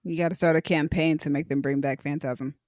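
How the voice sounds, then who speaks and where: A man's voice: a little high, steady tone, fairly quick, a little mellow, no room sound, studio quality. A man co-hosting a casual movie review podcast, enthusiastic and emphatic. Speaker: podcast co-host.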